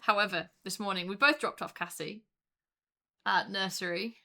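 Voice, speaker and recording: A woman co-hosting a relaxed podcast, her voice bright and forward. She chats freely with plenty of ums and laughs easily along the way. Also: mid-range voice, normal speed. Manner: chatty, laughs often, many fillers. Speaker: podcast co-host. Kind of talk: casual chat.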